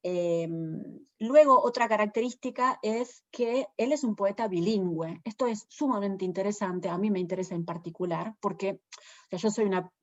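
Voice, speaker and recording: A woman with an Argentine accent, a poet giving a thoughtful literary talk, pausing often with fillers as she searches for words. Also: medium pitch, dull audio. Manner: thoughtful, hesitant, many fillers. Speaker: poet and presenter.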